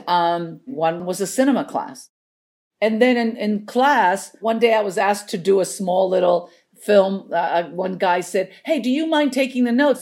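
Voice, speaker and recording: Older Chilean woman, slightly low voice. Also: a little mellow. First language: Spanish. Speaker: interview guest, veteran script supervisor. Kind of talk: casual anecdotal storytelling.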